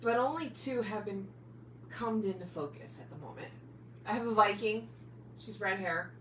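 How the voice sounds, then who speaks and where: A woman's voice: medium pitch, moderate pace, a bit bright, distant mic. An American woman from New Jersey chatting casually and earnestly as a paranormal podcast co-host, with emphatic phrasing. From New Jersey, United States. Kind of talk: casual chat. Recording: echoey room, off-mic, faint background hum.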